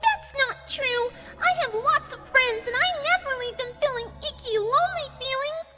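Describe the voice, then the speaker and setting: An excitable young cartoon pony, extremely high and squeaky. She sounds childlike and indignant, with music clearly playing behind her. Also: moderate pace. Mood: hurt, indignant. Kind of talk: dramatic fan fiction audio reading.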